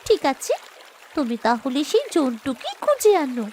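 Lady doing a brave earnest kid in a cartoon, high n lively, urgent, faint noise behind. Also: normal speed. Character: brave human child Kiran, earnest, anxious rescuer. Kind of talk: animated fairy-tale cartoon voice acting.

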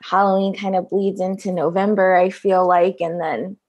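A woman in casual podcast conversation, relaxed and thoughtful, laughing often. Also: mid-range voice, normal speed, muffled recording.

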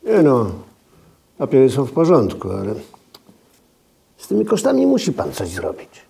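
An older actor playing a stern, insistent elderly auditor with a dry manner in a film drama. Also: male voice, medium pitch, wildly swooping pitch, moderate pace, vocal fry, warm tone, a little hiss or hum, heavily acted. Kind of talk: naturalistic film drama dialogue.

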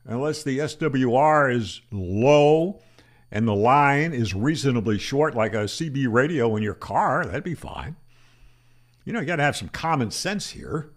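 An older man, a ham radio educator, explains antenna myths to camera in a matter-of-fact, debunking tone, asking himself rhetorical questions, speaking into a boom-arm microphone in a small room. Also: lively intonation, a little slow. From Florida. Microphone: studio microphone on boom arm.

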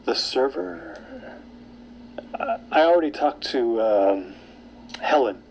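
An actor playing an obsessed, brooding man in a naturalistic audio drama, with a hesitant, halting delivery. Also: medium pitch, animated, vocal fry, darkish tone, slight background noise, poor audio. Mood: wary, hesitant. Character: obsessed, brooding man seeking supernatural truth.

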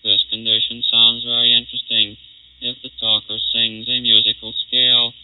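A man's voice: medium pitch, completely flat delivery, normal speed, slight background noise. 1930s lab guy explaining stuff formally through a vocoder, robotic, thin phone-like old disc sound. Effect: synthetic robotic vocoder processing. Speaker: laboratory demonstrator. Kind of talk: 1930s technical demonstration, formal narration. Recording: muffled, phone-like 1939 test disc recording.

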